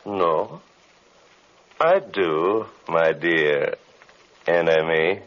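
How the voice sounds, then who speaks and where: A villainous magician in a vintage radio play, his voice deep and very slow. He is theatrical, sneering and menacing, on a dull lo-fi recording. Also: animated, exaggerated voice. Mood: gloating. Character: gloating, menacing male evil magician, vengeful. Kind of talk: 1930s radio drama acting.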